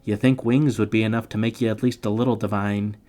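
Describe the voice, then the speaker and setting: A man with a fairly low voice delivers a scripted, explanatory narration. He sounds playful and tongue-in-cheek, dropping slangy comic asides in among the more formal lines. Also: steady tone, quick pace, darkish tone. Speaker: narrator of literature summary videos.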